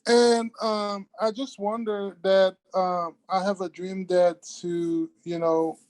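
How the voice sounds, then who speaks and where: A man with a Haitian accent joins a video call and speaks slowly and haltingly, filling the gaps with uh and you know. His sound is dry and close, with little room around it. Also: high-pitched, little pitch movement, a little mellow, dry recording. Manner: hesitant, searching for words, many fillers. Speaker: webinar participant asking a question.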